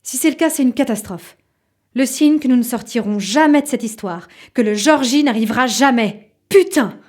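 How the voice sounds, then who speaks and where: A woman's voice: fairly high pitch, animated, moderate pace, darkish tone. A woman playing an obsessive, irritable mother who tells her story dramatically and confidingly in an audio fiction. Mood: dramatic, tense. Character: obsessive, irritable mother waiting for a mysterious sign.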